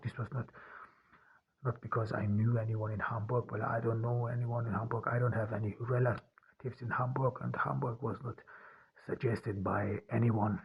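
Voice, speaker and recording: A man from Austria, an author speaking in a personal podcast monologue, halting and often repeating and restarting his words. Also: mid-range voice, vocal fry, lo-fi sound, a little hiss or hum.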